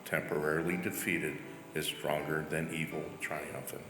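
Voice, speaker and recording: A deep male voice reads quotations aloud. The sound is very echoey, with music playing softly underneath. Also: level tone, normal speed. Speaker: church member reading.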